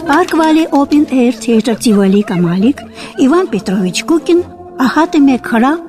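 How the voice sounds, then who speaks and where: A woman narrating a radio drama from a script in a measured storytelling manner. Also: high voice, expressive. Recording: archival radio with faint music.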